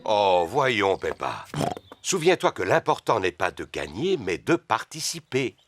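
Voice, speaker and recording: A man's voice: fairly low pitch, very animated, measured pace, soft background music. A man voicing a good-natured, boastful, big-bellied daddy pig in a French cartoon dub. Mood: gently instructive.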